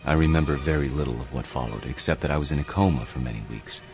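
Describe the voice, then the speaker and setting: A man's voice: a bass voice, fast talker, a little mellow, soft background music. The bottomless-voiced man in a late-night radio play, an ageless scholar wearily recounting and explaining his endless life. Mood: reflective. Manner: reflective, lecturing storyteller. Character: world-weary immortal history professor, tired of living. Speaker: actor in a radio drama. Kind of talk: radio drama acting.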